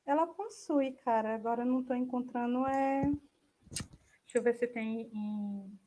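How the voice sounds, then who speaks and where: A Brazilian woman giving an informal online software demo, thinking aloud hesitantly as she presents and answers questions. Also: fairly high pitch, unhurried. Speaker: speaker giving an online talk.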